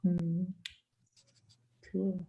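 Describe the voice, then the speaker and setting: A woman children's book author talking casually and interactively to children on a video call, expressive and encouraging, often asking questions. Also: on the low side, drawn-out words, gravelly, dull audio. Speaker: children's book author giving a read-aloud.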